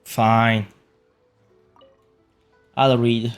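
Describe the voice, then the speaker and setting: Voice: medium pitch, little pitch movement, drawn-out words, faint music behind. A video game streamer giving casual, off-the-cuff livestream commentary, muttering reactions to the game.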